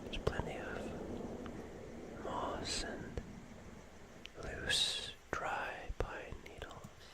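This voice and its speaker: An ASMR host speaking in a breathy whisper, telling an atmospheric roleplay story in a soothing manner and softly repeating words. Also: drawn-out words.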